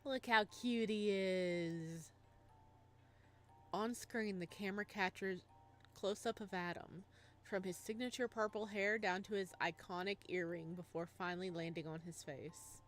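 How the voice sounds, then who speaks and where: A woman gaming streamer reading a visual novel aloud, with expressive narration and playful, casual asides. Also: mid-range voice, a little slow, soft background music.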